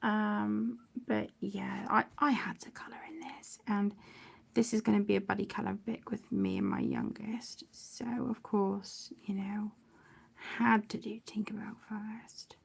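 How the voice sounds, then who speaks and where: A middle-aged woman chatting casually on a livestream, affectionate and apologetic, with phrases that trail off softly. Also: mid-range voice, lively intonation, unhurried, creaky, husky. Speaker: livestream host of an adult colouring channel. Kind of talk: casual livestream chat while colouring.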